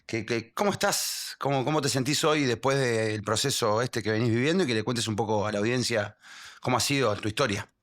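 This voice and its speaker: A man's voice: mid-range voice, brisk, a bit bright. A man with a Rioplatense Spanish accent hosting a podcast interview, stumbling a little over his words as he asks questions. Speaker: podcast host.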